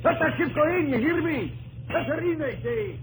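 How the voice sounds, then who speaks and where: An actor playing a blunt, excitable male frontier farmer from the Mississippi country in a 1950s radio drama, emphatic and folksy. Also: very high voice, moderate pace, over music. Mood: angry, shouting. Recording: noisy old 1950s radio recording.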